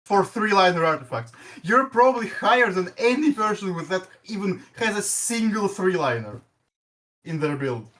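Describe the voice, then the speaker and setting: A man with a Russian accent chatting about a game, halting and restarting often. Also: high voice, animated, fairly bright tone. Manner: halting, many restarts and stumbles. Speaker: guest player. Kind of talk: casual gaming chat.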